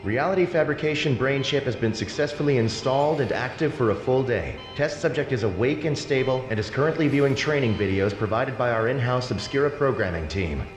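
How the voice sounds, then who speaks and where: An actor playing a matter-of-fact lab technician in a sinister video game facility. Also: male voice, mid-range voice, animated, fairly quick, few pauses, close to an everyday voice. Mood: clinical. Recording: long echoing reverb, faint music behind.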